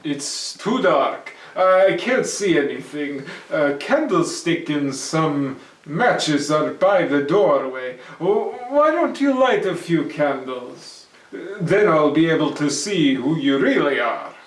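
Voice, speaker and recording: Man acting a loud, scoffing storybook hero. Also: a little high, lively intonation, slow pace, hardly any pauses. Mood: taunting. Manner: loud, taunting, dismissive. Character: brave, scoffing man feigning unconcern before monsters. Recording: roomy, echoing, distant mic.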